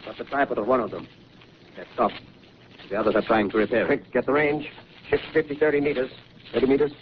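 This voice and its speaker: An actor playing a disciplined artillery soldier calling out terse orders in a 1930s radio drama. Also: male voice, medium pitch, fairly even intonation, fast talker, natural voice. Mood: brisk, commanding. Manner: terse, barked military calls. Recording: muffled, noisy 1930s radio recording.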